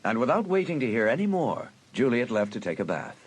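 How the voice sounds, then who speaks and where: A man narrating a suspense audiobook in a dramatic, deliberate storytelling manner. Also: mid-range voice, big pitch swings, breathy edge.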